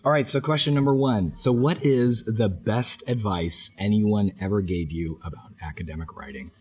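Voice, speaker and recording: A man hosting a podcast interview with a raspy voice from a head cold, joking lightly and asking questions with many fillers. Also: fairly low pitch, moderate pace, a little mellow. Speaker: podcast host, professor.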